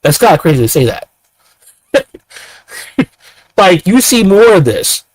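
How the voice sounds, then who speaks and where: A man's voice: high-pitched, very animated, unhurried, no echo, low-quality recording. A man in his thirties giving ranting commentary, firing off repeated rhetorical questions. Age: 30s. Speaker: commentator reacting to clips.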